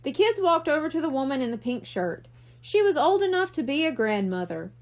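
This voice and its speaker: A woman's voice: high-pitched, sing-song, a little fast. A woman reading a children's storybook aloud as scripted narration. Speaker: audiobook reader.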